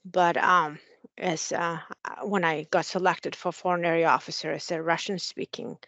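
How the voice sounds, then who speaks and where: A woman's voice: fairly low pitch, fairly even intonation, moderate pace, a bit bright, dry recording, highs cut off. A woman in her forties from Mongolia with a Mongolian accent, an Air Force officer answering interview questions earnestly with many fillers. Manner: frequent fillers, friendly and earnest.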